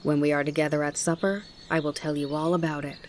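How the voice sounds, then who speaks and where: A woman voicing an old mountain grandfather in a storybook, speaking in a measured, plain, instructive way. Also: deep voice, steady tone, darkish tone, slight background noise, close to an everyday voice. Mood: kindly, matter-of-fact. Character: gruff-minded, kindly old mountain grandfather.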